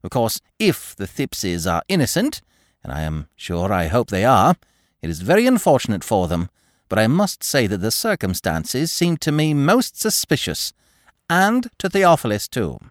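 A man's voice: mid-range voice, dry recording, studio quality, pitched above the performer's own voice, natural voice. A man voicing a prim, disapproving older woman in an audiobook reading, with an affected, prissy way of speaking.

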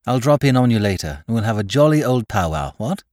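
Man playing a breezy upper-class gentleman. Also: on the low side, big pitch swings, fairly quick, dry recording, clean studio sound. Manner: chummy, slangy chatter.

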